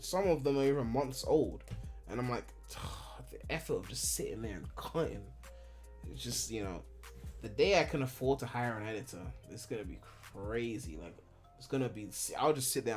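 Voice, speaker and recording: A man in his twenties from Ghana, chatting casually on a live stream at home, rambling and candid with lots of filler and swearing. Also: medium pitch. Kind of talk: casual live-stream chat answering viewers.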